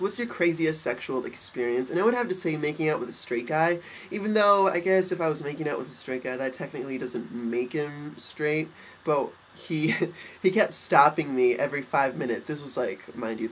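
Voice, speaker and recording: A man's voice: mid-range voice, slight background noise, poor audio. A young man with a stuffed, nasal voice, talking in a campy, cheeky way to his webcam in a bedroom. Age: young adult. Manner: campy, cheeky, quick asides. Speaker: vlogger. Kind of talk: casual webcam vlog. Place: bedroom, indoors. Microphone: webcam at desk.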